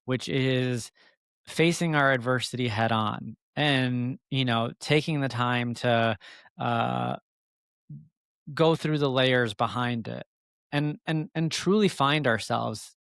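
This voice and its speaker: Clear-voiced man, reflective, closely recorded. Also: mid-range voice, slow pace, a bit bright, no echo. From born in Egypt, emigrated as a child. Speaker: podcast guest, author. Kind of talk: reflective personal storytelling in an interview.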